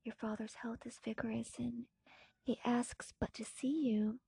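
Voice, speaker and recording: Woman reading as a soft, breathy, tender young lady. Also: fairly high pitch, little pitch movement, no room sound, pitched above the performer's own voice, heavily acted. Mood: hopeful, affectionate. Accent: American. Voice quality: soft, breathy, half-whispered. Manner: gentle, earnest, measured. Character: tender, anxious young woman writing to her beloved cousin. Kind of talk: dramatic audiobook reading of a classic novel.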